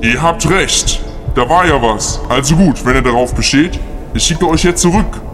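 A man playing a measured, conciliatory magician who grants wishes in an amateur audio play. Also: fairly high pitch, music in the background, natural voice. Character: male magician who grants wishes and sends heroes home.

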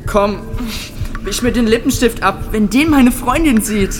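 A woman's voice: fairly high pitch, unhurried, music in the background. A woman hosting and acting in an amateur audio play, talking in a casual way. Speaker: podcast host and audio play cast member.

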